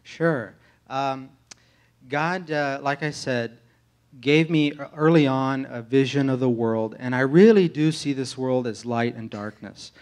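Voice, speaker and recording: An American man speaking as a pastor candidate in an informal testimony to a congregation, earnest and halting in his delivery. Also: mid-range voice, a little mellow. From United States, grew up in Tennessee. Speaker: pastor candidate being interviewed in church.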